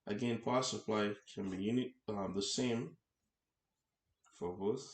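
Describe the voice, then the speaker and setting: Low-voiced man teaching in an echoey room. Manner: halting, repeats and rephrases phrases, checks with "right?". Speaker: instructor. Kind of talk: explanatory tutorial lecture, unscripted. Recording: echoey, roomy sound.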